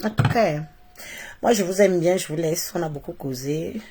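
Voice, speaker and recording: A woman's voice: lowish voice, normal speed, background noise. A woman from Cameroon with a Cameroonian accent and a head cold, talking to followers on a live stream in a mocking, opinionated way, repeating phrases for emphasis. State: congested with a cold. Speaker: social media live streamer.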